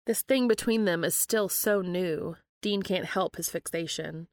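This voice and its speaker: A woman's voice: lowish voice, unhurried, no echo, studio quality. A woman hosting and reading fan fiction aloud as scripted narration. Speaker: podcast host and reader.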